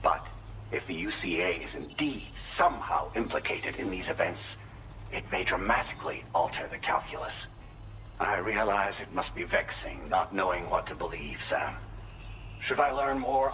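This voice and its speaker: Game cutscene: formal, secretive male adviser, cerebral and measured, low pitch with a forceful, bright edge. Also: lively intonation, slight background noise. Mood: grave, measured. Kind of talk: scripted video game cutscene monologue.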